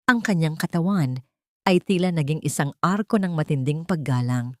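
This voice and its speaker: A woman reading a dramatic scripted story in a solemn, deliberate manner. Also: sits low, darkish tone, no echo, studio quality.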